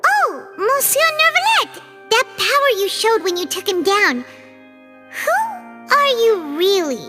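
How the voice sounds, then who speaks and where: A woman voicing a tiny, blunt, curious fairy companion in a video game, squeaky and childlike. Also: huge pitch swings, slow, loud music behind the voice, full character voice. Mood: frank, curious.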